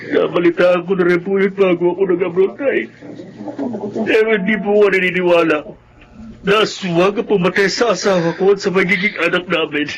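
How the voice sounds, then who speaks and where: A grieving young husband, played by a man in a radio melodrama. The voice sits high for a man and carries heavy, emphatic emotion. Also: steady tone, fairly quick, dull audio, close to an everyday voice. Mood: doubting, grieving. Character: grieving young husband back from abroad, vengeful son. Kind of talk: melodramatic radio drama acting.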